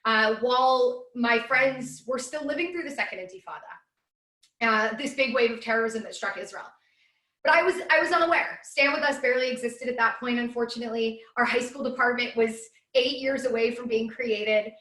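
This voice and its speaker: Woman, 30s, educator giving a webinar; bright, chatty delivery recorded in a reverberant room. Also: on the high side, moderate pace. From Los Angeles, USA. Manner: chatty, upbeat storytelling with many fillers. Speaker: guest speaker, educator. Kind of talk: live webinar presentation. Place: at home.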